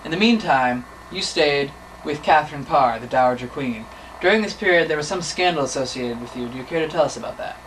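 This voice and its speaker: A man's voice: a little high, a little fast, a bit bright. A teenage boy narrating a mock documentary and interviewing, earnest and mock-serious. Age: teens. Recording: distant mic, noisy room.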